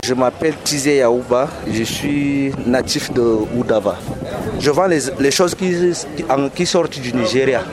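A man's voice: mid-range voice, loud music behind the voice. A man who trades goods, interviewed at an outdoor market and hesitating often as he talks. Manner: slow, with many hesitations. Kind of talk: field interview. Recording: outdoors with loud noise.

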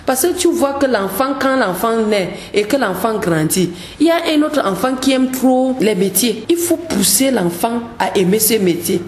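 A woman's voice: a little high, lively intonation, moderate pace, hardly any pauses, a little mellow, echoey room, slight background noise. A woman, a gospel singer speaking earnestly as a radio guest, often repeating words as she searches for them. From Cameroon. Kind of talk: radio interview.

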